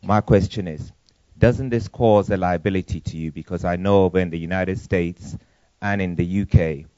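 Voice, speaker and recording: A man in the audience asking a deliberate, measured question, slowing down on key words. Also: sits low, steady tone, mellow, no room sound. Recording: dull lo-fi conference recording.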